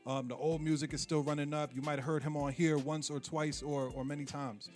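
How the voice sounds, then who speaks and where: Laid-back New radio host. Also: male voice, on the high side, flat delivery, fairly quick, darkish tone, faint music behind. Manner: laid-back, slangy, frequent fillers. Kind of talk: casual radio interview.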